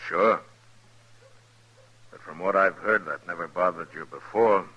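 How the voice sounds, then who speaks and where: An actor playing a stern, middle-aged American frontier marshal in an old radio western, speaking with deliberate, terse authority on a hissy recording. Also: male voice, deep voice, slightly rough, muffled recording. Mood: cold, taunting. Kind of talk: 1950s radio western acting and first-person narration.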